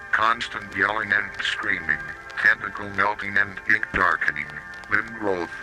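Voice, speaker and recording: Synthetic male narrator for horror safety tape: robotic, slightly low, piercingly bright, mechanical delivery over music. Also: rough recording. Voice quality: robotic synthetic text-to-speech voice. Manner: flat, mechanical, odd pronunciations. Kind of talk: scripted analog horror public-safety narration. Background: slow music.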